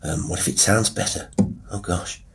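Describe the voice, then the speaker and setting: A British man hosting a solo podcast, talking informally in a rambling, wry, self-deprecating way with a husky voice. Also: deep voice, normal speed, a bit bright. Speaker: horror podcast host and writer. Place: quiet room with a piano at work. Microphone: wireless Bluetooth mic into a phone.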